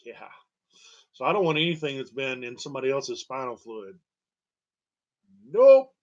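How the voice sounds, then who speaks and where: A man telling a personal story in a halting, digressive monologue with a wry, emphatic manner. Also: medium pitch, sing-song, a little mellow, lo-fi sound. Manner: halting, digressive, wry, chuckling at grim details. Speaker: storyteller and host. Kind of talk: rambling personal monologue about own medical history.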